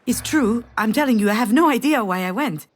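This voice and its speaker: A woman's voice: fairly high pitch, expressive, brisk. An actress playing a wistful, wounded woman parting from her ex, speaking in a reflective, intimate way in a naturalistic game performance. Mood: defensive, confused. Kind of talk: naturalistic visual novel voice acting.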